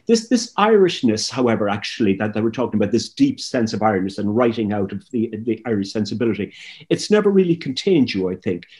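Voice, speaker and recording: A man's voice: fairly low pitch, big pitch swings, fast talker, darkish tone. A man in his sixties interviewing an author over a video call from home, asking long, searching questions and repeating words as he goes. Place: home room with pictures on the wall, indoors. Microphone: laptop webcam on a video call.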